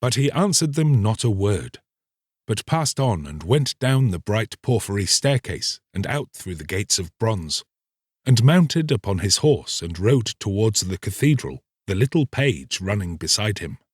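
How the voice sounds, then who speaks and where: Guy reading a classic fairy tale audiobook, measured, really varied pitch, super dry sound. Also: very animated, slightly rough, no room sound. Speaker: audiobook narrator. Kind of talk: scripted literary storytelling.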